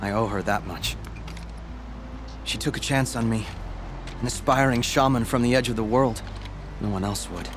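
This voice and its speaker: An actor playing an earnest young apprentice shaman in a video game, humble and measured as he speaks. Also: medium pitch, background noise, close to an everyday voice. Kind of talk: video game cutscene dialogue. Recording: game audio with loud music.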